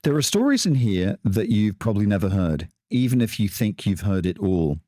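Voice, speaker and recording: A man hosting a podcast, telling a story in a dramatic way with pauses for effect before he interviews his guest. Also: lowish voice, very animated, mellow, dry recording, clean studio sound. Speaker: podcast host. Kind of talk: scripted podcast monologue, then interviewing.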